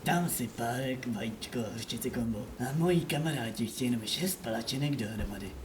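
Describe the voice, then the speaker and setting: A woman's voice: very deep voice, lively intonation, far from the mic, background noise. A woman voicing a laid-back, polite male restaurant customer in an amateur cartoon fan dub, subdued and casual. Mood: mild, puzzled.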